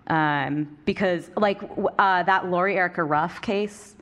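A woman co-hosting a podcast live before an audience, wry and quick with quips. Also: fairly low pitch, a bit bright. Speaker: podcast co-host. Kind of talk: live panel chat with audience Q&A.

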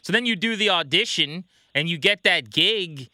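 Very bright, high-voiced American male host. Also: expressive, normal speed, no room sound, studio quality. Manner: reactive, interjecting with questions and comments. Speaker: show host, interviewer. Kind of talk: casual sports interview chat.

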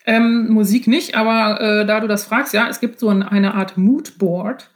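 A woman's voice: mid-range voice, steady tone, moderate pace. A middle-aged woman giving casual, conversational answers as a writer interviewed on a podcast.

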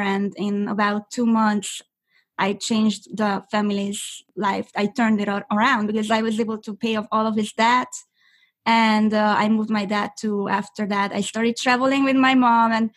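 A young woman from Hungary, a native Hungarian speaker, chatting as an interview guest with many fillers and restarts. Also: fairly high pitch. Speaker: guest affiliate marketer. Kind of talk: interview answers.

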